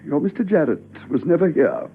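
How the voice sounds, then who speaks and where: An actor in a radio drama playing a fussy, irritated office official who speaks formally, curtly and defensively. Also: male voice, fairly high pitch, very animated, fairly quick, slight background noise. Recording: thin phone-like old radio recording.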